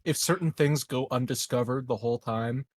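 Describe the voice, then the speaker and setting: A man's voice: medium pitch, normal speed, no echo. A man chatting casually as a player in a tabletop roleplaying game.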